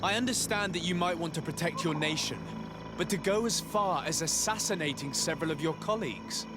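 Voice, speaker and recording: An actor playing a principled, diplomatic envoy in a video game, formal and grave. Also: male voice, fairly high pitch, fairly quick, loud music behind the voice, close to an everyday voice. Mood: measured, diplomatic. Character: measured, principled male envoy, diplomatic investigator. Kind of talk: dramatic video game voice acting.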